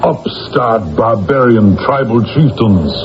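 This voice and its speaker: An actor playing a pompous, cruel Roman commander who speaks with contempt in a radio drama. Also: male voice, lowish voice, lively intonation, takes it slowly, music in the background. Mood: cold contempt. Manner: pompous, contemptuous, barking orders. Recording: dull, noisy vintage radio recording.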